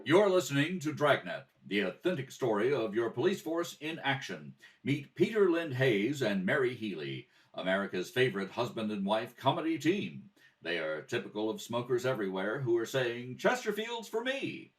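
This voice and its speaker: A man's voice: mid-range voice, very animated, close to an everyday voice. A man playing an upbeat 1950s radio announcer delivering an emphatic cigarette advertisement. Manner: emphatic, drawn-out salesmanship. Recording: slightly distant home microphone.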